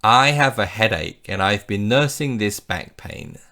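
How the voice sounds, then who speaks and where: A man's voice: fairly low pitch, a little slow, pushed and bright. A man teaching an English lesson, explaining phrases patiently and modelling example sentences for the listener to repeat. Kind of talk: slow scripted English lesson.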